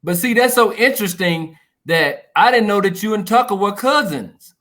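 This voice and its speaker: A man's voice: high-pitched, animated, normal speed, fairly bright tone. A man hosting a casual interview who keeps affirming his guest with short "yeah" and "mm-hmm" replies. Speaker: interview host.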